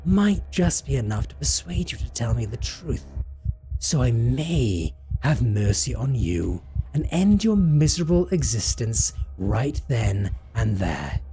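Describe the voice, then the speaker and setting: A slow, mellow-voiced male vampire, acted by a man in an audio drama, drawing out his threats with theatrical relish. His pitch swoops widely as he slips between cold menace and mock tenderness, possessive and seductive. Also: a little hiss or hum. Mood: cruel intimidation. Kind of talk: scripted roleplay audio drama.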